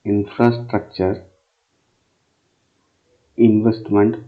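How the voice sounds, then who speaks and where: A man from India teaching a current affairs lesson for exam students. He fills his talk with pauses and asks "right?" to check the listeners follow. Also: on the low side, little pitch movement. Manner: many fillers and "right?" checks, repeats phrases while explaining. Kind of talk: exam coaching lecture explaining a news article. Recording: tinny phone-like sound.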